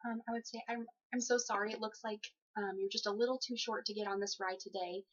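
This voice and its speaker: A dull, lo-fi recording of a young woman with a medium-pitched voice. She speaks politely and conversationally, like an applicant in a job interview. Her manner is easygoing and a little giggly. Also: steady tone, quick pace. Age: young adult.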